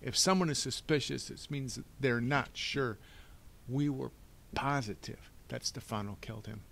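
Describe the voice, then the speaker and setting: A man's voice: fairly low pitch, normal speed, vocal fry. A man voicing an earnest, bookish young boy in a storybook, emphatic and precise in his speech. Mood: accusing.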